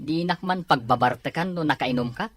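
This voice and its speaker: A man playing a skeptical, bantering young friend in an Ilocano audio drama, with a husky voice. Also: fairly high pitch, measured pace, a bit bright, no echo. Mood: incredulous. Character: skeptical young male buddy, bantering and incredulous.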